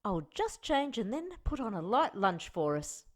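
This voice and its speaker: A woman's voice: mid-range voice, sing-song, normal speed. A woman playing a caring, practical wartime mother organising her grown family in a radio play. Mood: cheerful, organising. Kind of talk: amateur radio play dialogue.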